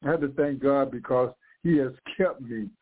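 Older male radio preacher in his 60s, stuffy from flu, fervent and circling back on his words, mid-pitched, tinny phone-line sound. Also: normal speed, husky. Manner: fervent, repeats and restarts phrases. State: congested from flu. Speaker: pastor. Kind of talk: live radio sermon.

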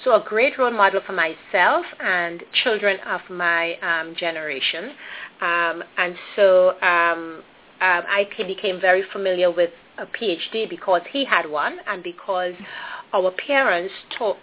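A woman's voice: fairly low pitch, measured pace. A woman from Trinidad and Tobago with a Trinidadian accent, a guest answering interview questions thoughtfully, with many ums and self-corrections. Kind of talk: interview conversation. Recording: phone-like narrow audio.

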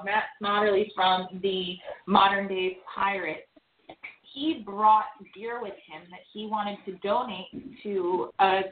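A woman hosting a casual recap show, chatting and teasing callers. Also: mid-range voice. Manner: chatty, teasing, quick asides. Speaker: host. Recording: echoey, tinny phone line with faint noise.

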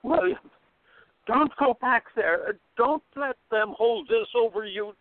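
A man playing a high, pleading anxious man. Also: takes it slowly, dry recording. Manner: earnest, pleading. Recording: tinny phone-like line.

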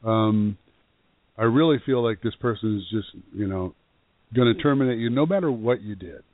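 A man's voice: fairly low pitch, normal speed, no echo. A man co-hosting a call-in radio show as a psychic medium, giving blunt, direct readings to callers and stumbling over his words as he starts. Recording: tinny phone-line sound.

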